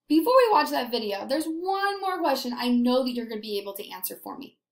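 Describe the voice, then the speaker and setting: A young woman with a high voice teaches young children. She speaks simply and encouragingly, posing questions, in a roomy-sounding webcam recording from home. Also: big pitch swings, brisk. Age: young adult. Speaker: elementary school teacher. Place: indoors, small apartment room.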